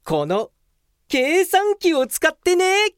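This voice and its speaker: A woman's voice: very high voice, wildly swooping pitch, slow pace, dry recording, studio quality, faint music behind, full character voice. A woman playing a lazy, smug young male office worker in a comedic radio drama, with an earnest, matter-of-fact manner.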